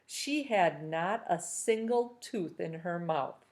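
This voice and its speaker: A woman reading a novel aloud chapter by chapter, with steady storytelling. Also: fairly low pitch, takes it slowly.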